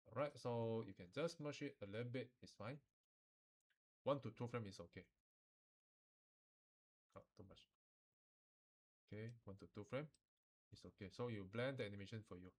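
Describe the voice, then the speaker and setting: A man with a Malaysian or Singaporean accent gives an informal, step-by-step software tutorial, instructing in colloquial phrasing with sentence-final particles. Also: lowish voice, normal speed, pauses often.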